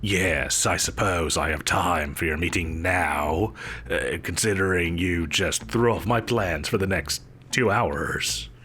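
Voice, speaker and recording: An actor playing a pompous, petulant supervillain boss in an audio roleplay, blustering theatrically and defensively. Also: male voice, deep voice, slow, sharp tone, breathy edge, heavily acted. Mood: grudging, exasperated. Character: pompous, petulant, evasive male supervillain employer. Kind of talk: scripted audio roleplay addressed to a listener.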